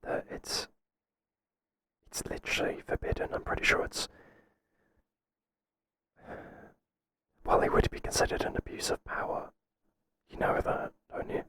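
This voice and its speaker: A man playing a flustered but principled boss in an ASMR roleplay, speaking hesitantly in a breathy whisper. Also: slight background noise, lightly acted, rough recording. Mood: apologetic, uneasy. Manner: hesitant, halting, gently firm. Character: flustered, professional male boss, kind but firm. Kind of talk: whispered ASMR roleplay, intimate second-person acting.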